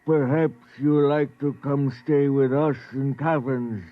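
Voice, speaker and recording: An actor playing a placid, courteous alien native in a 1950s radio drama, speaking halting, formal broken English. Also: male voice, medium pitch, steady tone, natural voice. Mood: courteous, regretful. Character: placid, slow-witted male alien native, courteous and fatalistic. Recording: dull old radio recording.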